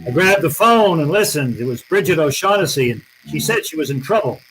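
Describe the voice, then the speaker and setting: Man playing a wry hard-boiled detective, slightly high-pitched. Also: animated, a little fast, fairly bright tone, soft background music, low-quality recording. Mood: hard-boiled narration. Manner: wry, terse, slangy. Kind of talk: old time radio detective drama, noir narration.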